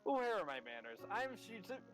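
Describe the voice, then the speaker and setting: A man's voice: sits high, very animated, moderate pace. A man voicing a chatty, awkward, apologetic schoolgirl in a visual novel, stammering politely. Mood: sheepish. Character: chatty, awkward, apologetic transfer-student twin sister. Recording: background music under the voice.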